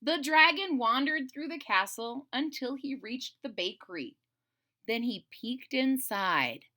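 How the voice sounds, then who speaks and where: A woman reading a storybook aloud to children, expressive and conversational, speaking directly to young listeners. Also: high voice, unhurried. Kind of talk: animated children's read-aloud with casual asides.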